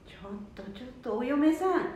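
A chatty neighbour voiced by a woman offers help in a high voice. It is amateur radio drama acting, with heavy room echo around her. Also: lively intonation, brisk, a little mellow. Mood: chirpy, obliging. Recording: very echoey room.

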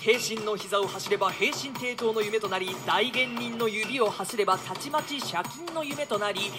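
A woman playing a boisterous, mocking young gentleman in a radio drama, declaiming archaic lines with bantering flamboyance. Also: fairly high pitch, moderate pace, music in the background, natural voice. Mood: excited, theatrical.

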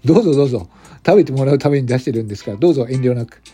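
A man's voice: medium pitch, animated, racing, warm tone, breathy edge. A man voicing a young, friendly, unpretentious company president in an amateur radio drama. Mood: polite, businesslike. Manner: chatty, polite, unpretentious. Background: background music.

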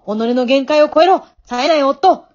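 An earnest young aspiring singer acted by a woman in an amateur radio play. Her voice is high, and she exhorts and encourages emphatically. The sound is slightly muffled. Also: normal speed, a little mellow, natural voice. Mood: rousing, pushy. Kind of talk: amateur radio drama acting.

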